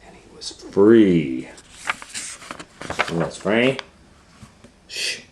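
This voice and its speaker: A man reading a story aloud to young children in a dramatic, loosely retold way, moving between hushed asides and excited swells. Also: deep voice, very slow, a little hiss or hum. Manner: dramatic, hushing to near whispers and swelling with excitement. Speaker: storytime host and reader.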